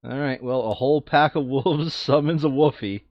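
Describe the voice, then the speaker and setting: A man's voice: mid-range voice, moderate pace, dry recording. A man chatting casually and jokily as he plays a tabletop game. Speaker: board game player. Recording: dull lo-fi sound.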